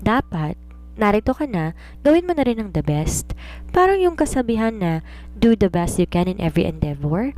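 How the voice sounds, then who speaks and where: A woman's voice: mid-range voice, big pitch swings, normal speed, darkish tone, soft background music, close to an everyday voice. A woman voicing a confident romance writer in an audiobook, giving earnest, instructive advice like a mentor. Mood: boastful, coaxing. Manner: earnest, instructive, self-assured. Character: confident, preachy woman romance writer advising her maid. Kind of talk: audiobook character dialogue.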